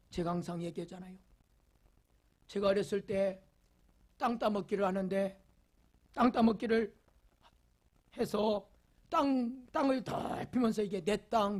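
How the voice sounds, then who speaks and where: A male pastor preaching a Sunday sermon, leading the congregation in reading and repeating rhetorical questions, swinging from loud emphasis to near whispers. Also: high voice. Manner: leads the congregation in call-and-response reading.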